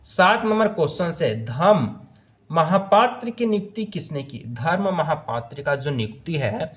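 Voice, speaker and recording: A man's voice: on the high side, animated, measured pace, fairly bright tone. A man teaching exam questions, working through the answer options aloud and mixing in English phrases. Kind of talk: explaining multiple-choice answers.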